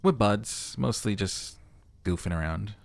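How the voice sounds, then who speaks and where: Troubled game detective, acted by a male player: earnest, sheepish goofball with creak in the voice. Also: deep voice, unhurried, no room sound. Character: troubled, goofy male detective confiding about his necktie.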